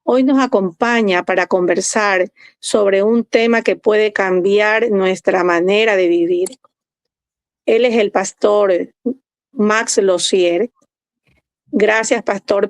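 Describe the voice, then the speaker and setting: A woman's voice: medium pitch, slow, no echo. A woman hosting a faith podcast, formal in tone and asking reflective questions with some hesitation. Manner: formal, warm introductions, hesitant fillers. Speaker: host.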